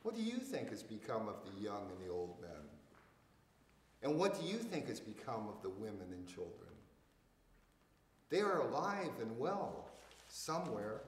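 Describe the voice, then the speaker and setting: An older man recites verse at a slow, deliberate pace. His voice sits at an ordinary pitch, and the room around it is echoey, with a long hall reverb. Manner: deliberate, measured phrasing. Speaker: poet reading at a public reading. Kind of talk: poetry recitation. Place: indoors in a church hall.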